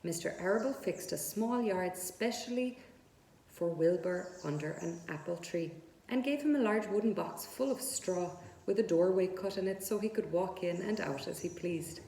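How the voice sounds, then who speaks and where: Mellow woman storyteller in echoey room. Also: on the low side, moderate pace, vocal fry. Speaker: read-aloud storyteller. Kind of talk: expressive children's book narration.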